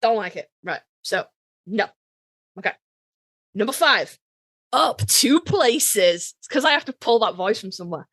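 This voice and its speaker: A woman's voice: mid-range voice, big pitch swings, unhurried, long pauses, a bit bright, no room sound. A woman with a Yorkshire accent co-hosting a podcast, chatting casually and bluntly about her opinions with plenty of swearing and dramatic emphasis. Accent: Yorkshire English. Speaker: podcast co-host. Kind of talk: casual opinionated fan chat, ranked countdown.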